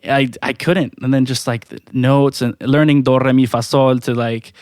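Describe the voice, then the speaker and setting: A man's voice: medium pitch, normal speed, clear, resonant tone, no room sound, clean studio sound. A man whose first language is Spanish, telling stories in a casual podcast chat, full of fillers and restarts. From Mexico. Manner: animated storytelling with many fillers and restarts. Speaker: podcast guest, music producer.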